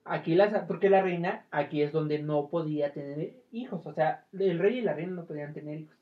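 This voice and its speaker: A woman with a fairly low voice and a Mexican accent hosts a casual podcast. She tells stories in an informal, playful way. The audio is dull and lo-fi. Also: brisk. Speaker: podcast host. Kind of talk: casual chat retelling film trivia and tales.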